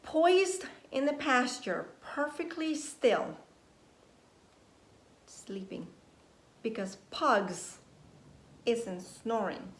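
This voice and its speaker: A woman nursery teacher reading a story aloud to young children in an expressive, deliberate way, prompting them with questions. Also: medium pitch. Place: indoors at home. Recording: roomy echo, faint background noise, home recording.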